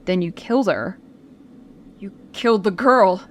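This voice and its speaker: A woman's voice: medium pitch, unhurried, slight background noise, close to an everyday voice. A woman playing a no-nonsense police captain in an old-time radio crime drama, firm and curt. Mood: tense, demanding. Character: no-nonsense female police captain on night duty.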